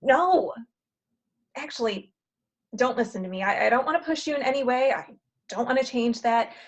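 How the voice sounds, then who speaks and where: Actress as bossy, high-voiced female matchmaker. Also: muffled recording. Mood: urgent, encouraging. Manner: brisk, sardonic, directive. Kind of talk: virtual table read of a comedy play.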